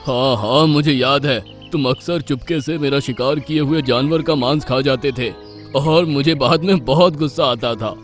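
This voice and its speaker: A man's voice: mid-range voice, moderate pace, faint music behind, close to an everyday voice. An actor playing a proud lion king of the jungle, hearty and trusting, in a children's cartoon. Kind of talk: Hindi children's cartoon voice acting.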